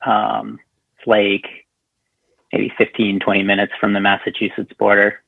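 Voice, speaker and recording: American male podcast guest, lowish voice, casual, tinny phone-like audio. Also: monotone, normal speed, vocal fry, husky, no room sound. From southern New Hampshire, USA. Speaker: podcast guest, punk band musician. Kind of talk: casual chat. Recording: thin phone-like line, dry.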